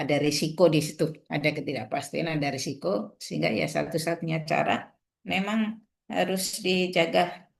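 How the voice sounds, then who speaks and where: Chatty woman on a video call, gravelly edge to her voice. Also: deep voice. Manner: chatty, loose, filler-heavy. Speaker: shrimp health specialist, guest speaker. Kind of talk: webinar talk.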